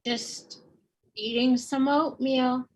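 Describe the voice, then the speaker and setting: A woman acting a dry, spiteful co-op worker in her thirties at a comedy table read, speaking in slow, understated sarcasm with a breathy voice. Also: high voice, level tone, darkish tone, a little hiss or hum, low-quality recording. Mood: deadpan.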